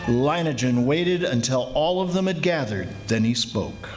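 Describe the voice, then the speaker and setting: A man's voice: mid-range voice, animated, soft background music, natural voice. A man playing an earnest, anxious commissioner in a 1940s radio drama, telling the tale dramatically. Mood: grave, suspenseful. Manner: dramatic, urgent, measured when narrating. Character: anxious, earnest male commissioner recounting the siege. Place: live stage performance at a college.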